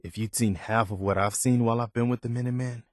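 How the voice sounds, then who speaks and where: An actor playing an earnest, loyal and idealistic militia officer in a video game, measured and plain-spoken. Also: male voice, medium pitch, fairly even intonation, no room sound, clean studio sound, lightly acted. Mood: indignant, challenging. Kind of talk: video game character dialogue.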